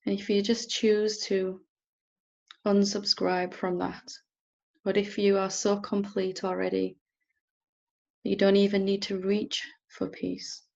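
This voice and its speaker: A woman teacher leading a guided meditation in a gentle, unhurried manner with long pauses. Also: mid-range voice, monotone, gravelly, dull audio. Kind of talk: guided meditation, softly instructing.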